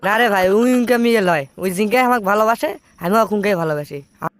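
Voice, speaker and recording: Man voicing a lovesick cartoon lad, extremely high-pitched, Bogura accent. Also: lively intonation, fast talker, noisy background. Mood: earnest, defensive. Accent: Bogura, Bangladesh. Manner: plaintive, earnest, complaining. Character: heartbroken, stubborn lovesick young man. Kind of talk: comic cartoon dialogue.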